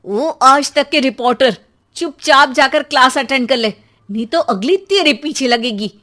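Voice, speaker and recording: A woman performs a fed-up cartoon mother with a fiery temper. Her voice is raised high and shrill, scolding with heavy sarcasm. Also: measured pace, bright, forceful tone. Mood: threatening. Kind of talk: Hindi cartoon story dialogue.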